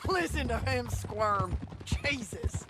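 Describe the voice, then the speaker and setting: An actress playing a tough, brash American bounty hunter in a Western video game. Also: female voice, fairly high pitch, lively intonation, takes it slowly, very noisy. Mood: curt, annoyed.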